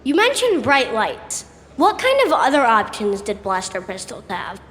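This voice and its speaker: A woman's voice: on the high side, huge pitch swings, moderate pace, a bit bright. A woman voicing an eager, curious young male student in a sci-fi audio drama. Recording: echoey hall, distant microphone.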